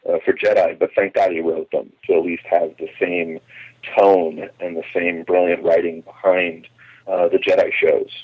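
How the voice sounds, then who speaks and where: Muffled, husky low-voiced man reminiscing. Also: little pitch movement, normal speed. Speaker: interview guest. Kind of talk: conversational reminiscing about radio drama. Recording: muffled, band-limited phone line.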